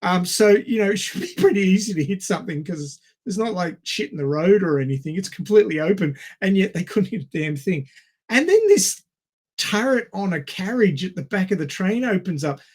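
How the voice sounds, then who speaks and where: A man with a high-set voice talks as a podcast co-host. He is conversational and animated, with a wry, sweary way of telling a story. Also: fairly quick. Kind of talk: casual film discussion.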